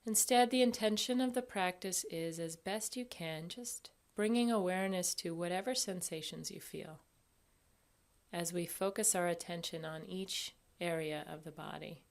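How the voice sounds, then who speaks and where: A woman guiding a meditation as an instructor, speaking gently and without hurry, leaving long pauses. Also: fairly low pitch, gravelly. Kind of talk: guided body scan meditation.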